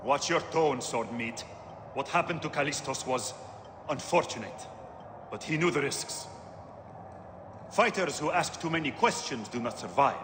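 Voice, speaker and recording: Man playing an imperious, animated arena fight boss. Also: mid-range voice, unhurried, long pauses, long reverb tail, background noise, lightly acted. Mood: menacing, dismissive. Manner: condescending, commanding, theatrical. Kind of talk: video game cutscene acting.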